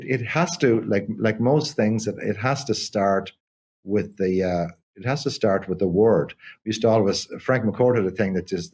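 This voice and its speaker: An older man, a theatre company co-founder, talking in an interview in a halting way with many repeated restarts. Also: fairly low pitch, a little mellow, breathy edge. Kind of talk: conversational interview.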